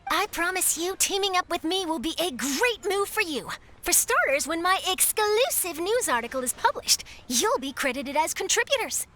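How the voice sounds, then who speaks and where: A very high, bright young female reporter from an anime game. She is chatty and eager, selling every line with bubbly, persuasive emphasis and a lively, swooping pitch. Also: normal speed, slight background noise, heavily acted. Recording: music under the voice.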